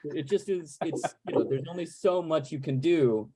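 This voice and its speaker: A man moderating a casual Q&A on a video call, talking in a wordy, rambling, animated way. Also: fairly high pitch, normal speed, husky, dry recording. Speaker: moderator of a panel Q&A. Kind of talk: casual conversational interviewing.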